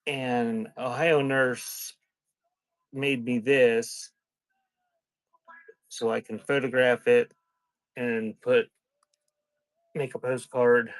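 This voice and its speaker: A man hosting a casual live sales stream, rambling and thinking out loud in a scattered, conversational way. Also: mid-range voice, level tone, extremely slow, long pauses, dry recording. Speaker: live-stream seller hosting a sale and unboxing.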